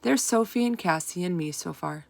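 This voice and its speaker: A woman's voice: lowish voice, expressive, normal speed, vocal fry, no echo. A woman voicing a bossy, defensive schoolgirl in a storybook, speaking in an emphatic, pointed way. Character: bossy, defensive schoolgirls squabbling over a club.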